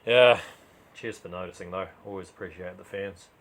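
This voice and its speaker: A man's voice: low-pitched, moderate pace, fairly bright tone. A man reading a first-person crime story as a dramatised narration.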